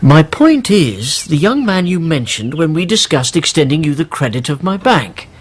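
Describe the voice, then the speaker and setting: A male actor playing an obsequious, scheming middle-aged baron and banker in a radio drama, with hesitant, stammering, effusive flattery. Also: on the high side, normal speed, slightly rough. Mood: hesitant wheedling.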